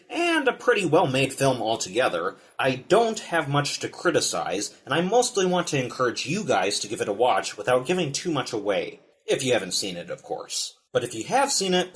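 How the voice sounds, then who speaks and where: Man narrating with very animated pitch. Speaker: film reviewer and show host. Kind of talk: scripted video essay narration.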